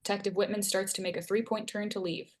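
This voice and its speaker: A woman's voice: medium pitch, fairly even intonation, quick pace. A woman reading scene headings and stage directions aloud as narrator at a screenplay table read.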